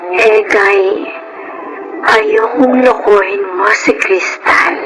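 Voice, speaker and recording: A woman's voice: medium pitch, sing-song, over a noisy crowd, heavily acted, low-quality recording. A woman with a Filipino accent playing a sorrowful married woman resisting forbidden love in a melodramatic audio drama. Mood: tearful, pleading. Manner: plaintive, measured. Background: loud background music.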